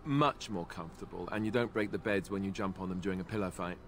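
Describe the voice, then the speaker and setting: An actor playing a self-deprecating young ex-Templar warrior in a video game, with dry, joking delivery. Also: male voice, low-pitched, fast talker, a little hiss or hum. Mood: wry, joking. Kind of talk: scripted video game dialogue.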